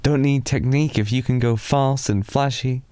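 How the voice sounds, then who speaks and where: A British man softly rambling in an improvised ASMR boyfriend roleplay, telling a drowsy, meandering story to lull a listener to sleep. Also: mid-range voice, fairly even intonation, clear, resonant tone, dry recording. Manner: soft, slow, drowsy storytelling.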